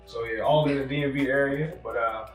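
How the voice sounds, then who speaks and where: A man's voice: mid-range voice, steady tone, a little fast, distant mic, faint music behind. Young adult man, easygoing guest chef, mid-range voice, picked up from across an echoey kitchen. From Virginia, US. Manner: laid-back, easygoing storyteller. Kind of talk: casual chat. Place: home kitchen.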